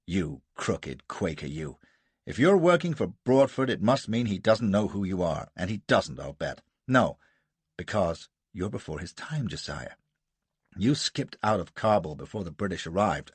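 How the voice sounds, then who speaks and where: Brief: man playing a roaring, scornful American colonel, deep husky voice, lively swings. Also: measured pace, no echo, full character voice. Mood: blustering. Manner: barking, scornful, booming outbursts. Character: gruff brawling American colonel, blustering.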